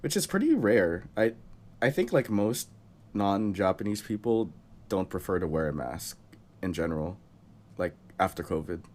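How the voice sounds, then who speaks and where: A young man with a very low, deep voice co-hosts a relaxed podcast chat. He has a cold and rambles along, chuckling often. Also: normal speed. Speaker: podcast co-host.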